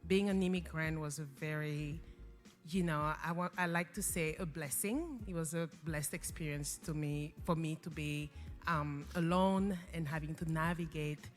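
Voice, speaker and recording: A woman's voice: deep voice, normal speed, soft background music. A young woman whose first language is French, a guest earnestly telling her story in an interview, with many fillers and restarts. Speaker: guest, organization founder. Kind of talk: interview conversation.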